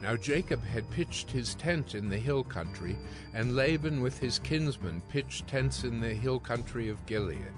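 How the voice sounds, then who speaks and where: Man, scripture narrator. Mid register, measured solemn storytelling over a clear music bed. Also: mid-range voice, animated.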